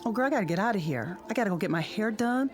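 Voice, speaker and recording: A woman's voice: mid-range voice, lively intonation, racing, faint music behind, natural voice. An actress playing a chatty, easygoing middle-aged suburban wife and mother in a modern radio drama, with a breezy, colloquial manner. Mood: busy, affectionate.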